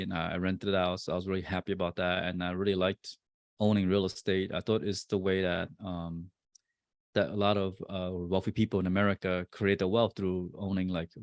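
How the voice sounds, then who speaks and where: Deep low-voiced guy in his 40s, lots of ums and restarts, dry close sound, casual interview guest. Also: no echo. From born in Vietnam, raised in New Jersey. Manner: halting, many fillers and restarts. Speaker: guest, real estate investor.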